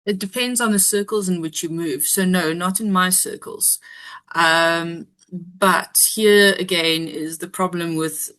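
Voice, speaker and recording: A woman, a guest giving measured, analytical commentary with frequent ums. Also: lowish voice, a bit bright. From South Africa. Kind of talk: interview discussion.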